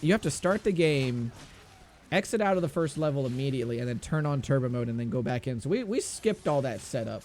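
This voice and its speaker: A man's voice: mid-range voice, vocal fry, a little mellow, a little hiss or hum. A man commentating a video game speedrun race, explaining in a laid-back way with lots of fillers.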